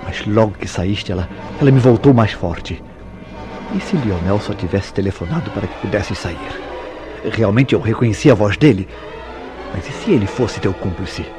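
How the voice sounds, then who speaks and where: A man performs a jealous, tormented lover from an old-fashioned radio soap opera. His voice is low, with formal, theatrical diction and weighty pauses, and prominent music plays behind it. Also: lively intonation, lightly acted. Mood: anguished confession. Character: Mauro, jealous, tormented lover confessing a crime.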